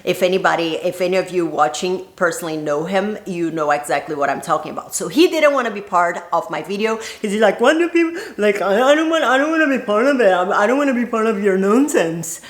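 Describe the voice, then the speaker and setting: Woman vlogger from Brazil, 30s, mid-pitched, chatty storyteller, echoey room. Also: animated, quick pace, hardly any pauses. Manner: chatty, laughs often, playful quoted voices. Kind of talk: casual storytelling to camera.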